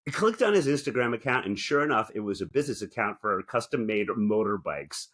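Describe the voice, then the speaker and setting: Male co-host, deeper register, very animated delivery; theatrical comic banter with mock indignation. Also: a little fast. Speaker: podcast co-host. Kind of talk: casual comedic banter, reading aloud from articles.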